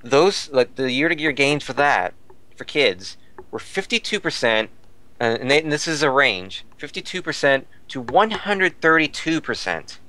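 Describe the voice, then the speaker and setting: A man's voice: mid-range voice, very animated, unhurried, sharp tone. A man talking casually as a podcast panelist, explaining things in a detailed, digressive way. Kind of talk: casual discussion, reporting figures.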